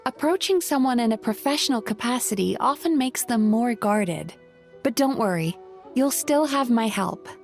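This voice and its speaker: Caring female healer spirit for a game: high voice, calm, polite, thoughtful counsellor delivery. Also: normal speed, faint music behind. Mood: earnest. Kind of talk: video game voice acting.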